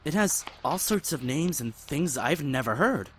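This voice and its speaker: A man playing a caring, earnest young survivor in a zombie apocalypse audio drama, mild-mouthed and gentle but stubborn. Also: a little high, lively intonation, unhurried, a little hiss or hum. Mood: hesitant, mild. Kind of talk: fan audio drama acting.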